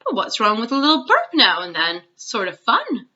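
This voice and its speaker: A woman's voice: on the high side, huge pitch swings, normal speed, pushed and bright, dull audio, pitched above the performer's own voice, heavily acted. A woman voicing a polite, inquisitive young girl from a storybook in a matter-of-fact way. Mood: explaining, slightly embarrassed.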